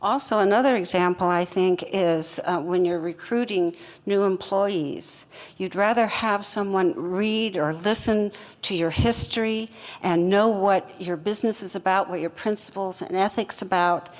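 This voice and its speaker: A woman, a company co-founder, chimes in briskly with examples as a guest on stage. Her voice has a long hall echo. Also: mid-range voice. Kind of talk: fireside chat on stage.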